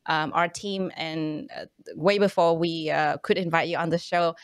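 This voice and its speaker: A young woman whose first language is Vietnamese hosts an interview in English. Her voice sits a little low and sounds dry and close. She talks in a thoughtful, halting way, with plenty of "um" and "you know.". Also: fairly even intonation, no echo. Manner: thoughtful, hesitant, many fillers. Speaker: podcast host. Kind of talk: casual interview chat.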